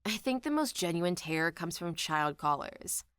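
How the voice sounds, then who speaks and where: Slightly low female narrator, dry close sound. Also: big pitch swings, normal speed, fairly bright tone, clear, resonant tone, dry recording. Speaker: narrator of online story compilations. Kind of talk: scripted narration, reading out anecdotes.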